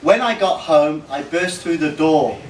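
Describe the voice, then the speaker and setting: A man reading a comic rhyming poem aloud to a gathering, in measured lines. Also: a little high. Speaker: reader of a birthday tribute poem. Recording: roomy, noisy live recording from far off.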